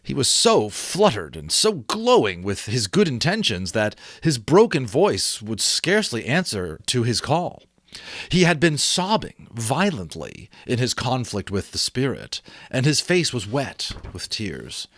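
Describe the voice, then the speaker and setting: Expressive man reading slowly, very varied pitch. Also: no echo, studio quality. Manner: theatrical, expressive. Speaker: audiobook reader and host. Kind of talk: dramatic storybook narration with casual asides.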